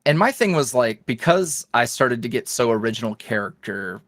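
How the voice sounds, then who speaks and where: A man's voice: mid-range voice, very animated, moderate pace, fairly bright tone, no echo, studio quality. A man talking casually as a podcast guest, rambling and self-deprecating, with lots of fillers. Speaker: podcast guest, ASMR roleplay creator. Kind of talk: casual interview chat.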